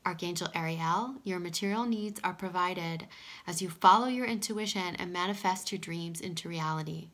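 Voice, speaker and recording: Female card reader, bright voice roughened by pollen, gentle and reassuring, recorded at a table in a small home room. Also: on the low side, moderate pace. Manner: soft-spoken, drifting into whispers, frequent "okay?". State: scratchy voice from pollen. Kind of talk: casual oracle card reading.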